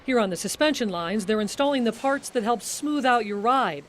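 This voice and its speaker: Woman, middle-aged, high voice, scripted TV news report, machinery hum behind. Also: expressive, normal speed.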